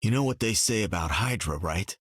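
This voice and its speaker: A man's voice: fairly low pitch, animated, brisk, fairly bright tone, dry recording, studio quality. An actor playing a brash, bantering archer hero in a video game, proud of his skills. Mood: teasing.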